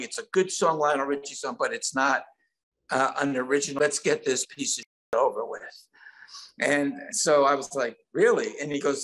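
A man's voice: a little high, fairly bright tone, poor audio. Older man telling stories casually. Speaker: songwriter guest. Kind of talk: casual interview storytelling. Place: indoor room at home. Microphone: video call.

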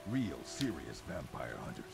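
Low, grim vampire hunter. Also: male voice, very animated, normal speed, gravelly, music in the background, full character voice. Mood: grim, scornful. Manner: grim, scornful, forceful declarations. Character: gruff, stern vampire hunter recruiter. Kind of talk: video game character dialogue.

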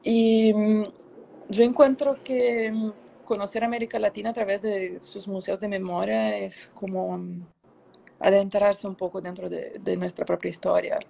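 A woman's voice: mid-range voice, flat delivery, moderate pace, creaky, dark tone, slight background noise. A woman in her thirties from Brazil speaks Spanish with a Brazilian Portuguese accent, reflective and hesitant as an interview guest searching for words, on a lo-fi video call. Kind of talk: casual, reflective interview answers. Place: indoor room, on a video call. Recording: dull, lo-fi video call sound.

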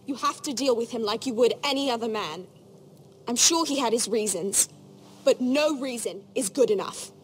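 A woman's voice: a little high, moderate pace, breathy edge. Earnest young noble girl, troubled. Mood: grave, resolute. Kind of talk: dramatic video game acting. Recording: music behind the voice.

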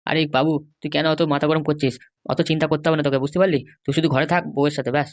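A woman's voice: low voice, steady tone, racing, natural voice. A woman voicing a protective, stern middle-aged father in a comic cartoon, speaking in an admonishing way. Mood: worried.

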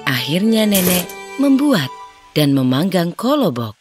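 A woman's voice: fairly low pitch, sing-song, unhurried, music in the background. A woman narrating a children's story from a script.

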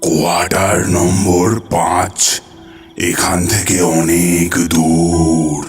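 A man voicing an eerie, ghostly bullock-cart driver in a horror cartoon, with slow, drawn-out, ominous delivery. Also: low voice, level tone, soft background music.

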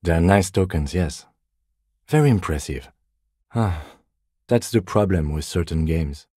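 Middle-aged police lieutenant, video game dialogue, husky, measured, understated, dry wit, deep voice, close dry sound. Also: male voice, expressive, dark tone, no room sound, full character voice. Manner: measured, understated, dryly wry.

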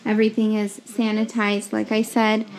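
A young woman giving an upbeat, slow walkthrough of a hotel suite, pointing things out as she goes, recorded on a handheld camera. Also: mid-range voice, monotone, darkish tone, slight background noise. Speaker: vlogger giving a room tour. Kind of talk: casual walkthrough narration. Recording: echoey rooms with faint ambience.

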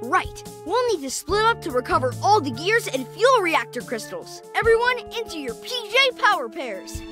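A woman voicing a bold, earnest young boy superhero who commands his team in a children's animated game. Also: very high-pitched, normal speed. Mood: serious, determined. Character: heroic young boy leader in a cat super suit. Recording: music behind the voice. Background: music.

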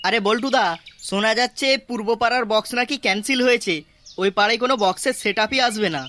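A man's voice: high voice, fast talker, pushed and bright. A man with a West Bengali accent voicing an eager, gossiping young village informant in an amateur comic cartoon.

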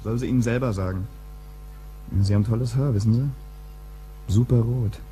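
A man's voice: mid-range voice, moderate pace, mellow, soft background music, natural voice, poor audio. Surly, slangy young man, played by an actor. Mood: offhand, wary. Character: surly young boyfriend, slangy, defensive and suspicious. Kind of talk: crime radio drama dialogue.

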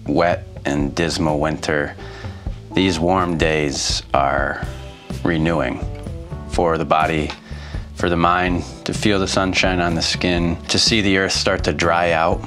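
A man in his thirties narrates in a low, even voice. His delivery is slow and reflective, like a calm scripted voice-over. Soft music plays quietly underneath. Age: 30s. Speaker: vlogger. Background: faint music.

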